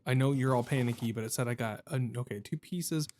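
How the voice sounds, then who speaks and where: A man's voice: mid-range voice, fairly quick, darkish tone. A man hosting a gaming video with casual let's-play commentary, thinking aloud in a wry, jokey way. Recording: game ambience and noise behind voice.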